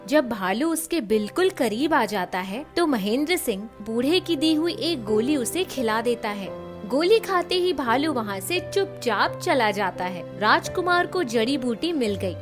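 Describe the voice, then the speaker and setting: High-pitched woman narrating over music. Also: expressive, unhurried. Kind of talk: scripted children's fairy-tale narration.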